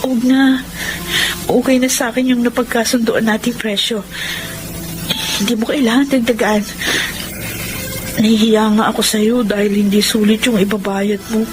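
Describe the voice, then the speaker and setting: An actress plays a shy young woman in desperate straits in a radio drama. Her fairly high voice is soft, hesitant and apologetic. Music runs clearly underneath. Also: little pitch movement, normal speed, pauses often, natural voice, rough recording. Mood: apologetic, embarrassed. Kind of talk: Filipino radio drama acting and narration. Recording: music bed behind voice.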